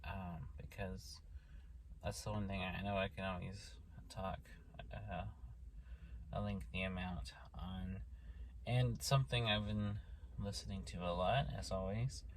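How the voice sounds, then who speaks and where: Hoarse low-voiced Oklahoma man rambling. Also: a little hiss or hum. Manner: rambling, many fillers and restarts. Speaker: vlogger. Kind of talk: casual talk to camera.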